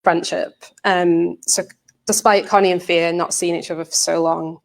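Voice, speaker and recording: Woman presenter, slightly low voice, little pitch variation, hesitant, earnest, informal talk. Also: flat delivery, normal speed, vocal fry. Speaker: writer and presenter.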